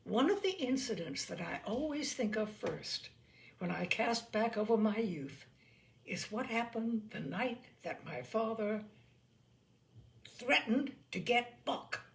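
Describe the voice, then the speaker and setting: A woman reading literary stories aloud as a storyteller, with measured, deliberate, expressive phrasing. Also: a little high, vocal fry.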